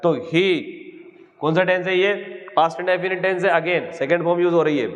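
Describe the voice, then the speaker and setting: High-voiced Indian man teaching in echoey room. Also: steady tone, normal speed. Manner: repetitive, prompting questions then answering them himself. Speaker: English grammar teacher. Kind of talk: classroom lesson, explaining step by step with questions. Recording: echoey hall, dull muffled lo-fi sound.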